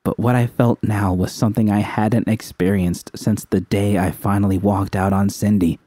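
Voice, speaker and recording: Mellow, lowish-voiced man narrating fiction. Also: level tone, normal speed, dry recording, studio quality. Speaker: audiobook narrator. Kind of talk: scripted first-person dramatic fiction narration.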